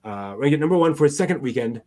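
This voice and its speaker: A man's voice: fairly high pitch, animated, warm tone. Man casually presenting anime news. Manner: halting delivery, searching through notes aloud. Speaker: co-host of an anime news show.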